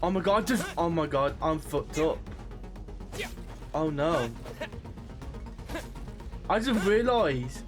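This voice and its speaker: A man giving casual let's play commentary, excitable and sweary, exclaiming at what happens in the game. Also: on the high side, takes it slowly, pauses often, over music. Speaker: gaming streamer playing and commenting.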